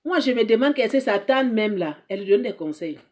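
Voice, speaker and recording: Woman, somewhat high-pitched, emphatic, moralizing, repetitive, rhetorical questions. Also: animated, brisk, darkish tone. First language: not French. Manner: emphatic, preachy, repeats herself, rhetorical questions. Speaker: advice show host. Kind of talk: advice monologue to mothers.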